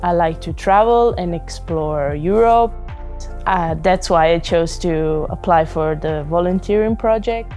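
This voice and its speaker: Italian woman in her twenties, slightly low voice, calm testimonial with faint music. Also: measured pace. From southern Italy. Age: 20s. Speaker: volunteer. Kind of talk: interview testimonial.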